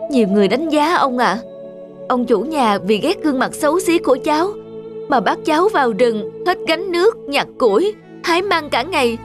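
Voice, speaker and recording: A woman's voice: sits high, animated, moderate pace, pitched above the performer's own voice. A woman voicing a meek, kind young servant woman, polite and plaintive, in a cartoon fairy tale. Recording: music under the voice.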